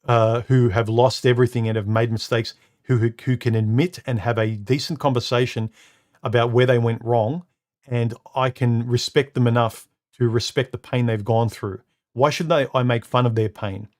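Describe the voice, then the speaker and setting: Deep-voiced man hosting a live stream. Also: steady tone, a little mellow. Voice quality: deep, somewhat muffled voice. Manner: halting, repeats words, many uh and um fillers. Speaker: live stream host reading comments. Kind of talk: casual live stream talk. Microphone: studio microphone.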